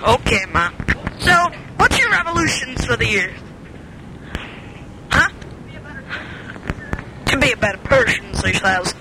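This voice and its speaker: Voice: medium pitch, very animated, unhurried, vocal fry, long pauses, background noise. An adult chatting casually with their mother, giggling, silly and playful. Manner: giggly, silly, breaks into song and goofy voices. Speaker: adult child recording with mother. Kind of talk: casual chat.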